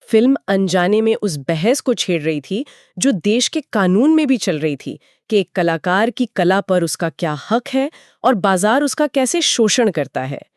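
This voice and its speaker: A woman's voice: mid-range voice, sing-song, unhurried, few pauses, a little mellow, no room sound, clean studio sound. A woman giving explanatory, conversational film commentary in an earnest storytelling way. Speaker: podcast co-host.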